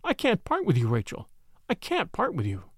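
A man's voice: high-pitched, big pitch swings, normal speed, no echo, clean studio sound, voice pushed up, heavily acted. Man doing a preachy pious spinster lady, all fervent and pleading.

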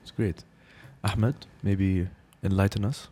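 A man's voice: lowish voice, fairly even intonation, slow pace, dark tone, husky. A man hosting a casual podcast chat, teasing his guests and laughing often. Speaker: podcast host.

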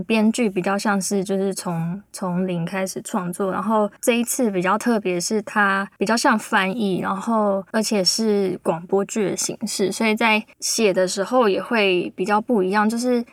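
A woman's voice: mid-range voice, steady tone, normal speed, hardly any pauses, no room sound, studio quality. A woman, talking casually as a guest scriptwriter on a podcast.

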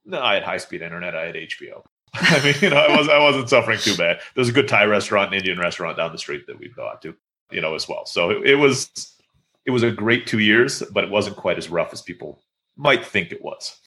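A quick, chatty man in his thirties who grew up in Turkey tells stories as an interview guest, his speech peppered with "you know" and "I mean." His voice is fairly low in pitch but bright and forward in tone, and the words tumble out at a brisk clip. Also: expressive. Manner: chatty, storytelling with many fillers. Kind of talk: casual interview conversation.

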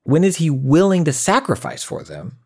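A man hosting a podcast, giving measured commentary on a story and leading into interview questions. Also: on the high side, huge pitch swings, darkish tone, breathy edge. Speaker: podcast host.

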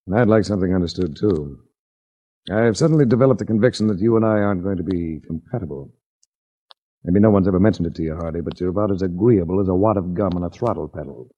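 Low, husky radio detective. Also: male voice, warm tone. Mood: curt, sardonic. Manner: dry, wisecracking, measured. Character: wry hard-boiled investigator hero, smooth with women. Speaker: lead actor and narrator. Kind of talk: 1940s radio detective drama acting. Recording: dull 1940s radio recording.

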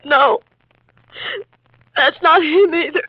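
A tearful american widow, high plaintive voice, muffled old radio drama. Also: female voice, no echo. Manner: halting, plaintive. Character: grieving, fragile widow at funeral home. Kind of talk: 1950s radio drama acting.

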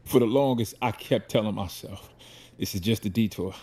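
An actor playing a remorseful recovering addict father in an audio drama, earnest and excitable, with a husky voice. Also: on the low side, normal speed. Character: remorseful recovering addict father, hopeful, playful, working-class.

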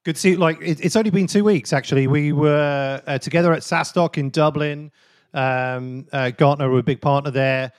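A man hosting a podcast interview, eager and quick to restart his phrases, lifting his voice when he asks questions. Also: on the high side, steady tone, dry recording, clean studio sound. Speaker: podcast host.

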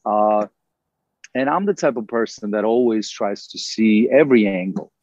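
A man's voice: lowish voice, normal speed, a little mellow, no echo, muffled recording. A middle-aged man from Ukraine, a native Russian speaker, talking earnestly as an interview guest and rambling with lots of "you know" fillers. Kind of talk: casual interview conversation.

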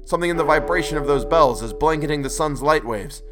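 Urgent, fearful man in a disaster tale, acted by a male reader, forceful and insistent, raised pitch, faint music behind. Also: quick pace, close to an everyday voice.

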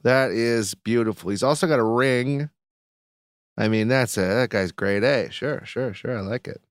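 A man co-hosting a podcast, trading jokes in quick, playful banter. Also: medium pitch, clear, resonant tone, no room sound, studio quality. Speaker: podcast co-host. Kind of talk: casual banter.

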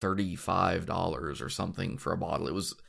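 A man hosting a casual podcast, a bit hoarse after shouting at a game, chatting in a rambling way with many ums and restarts. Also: deep voice, fairly even intonation, a little fast. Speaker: podcast host.

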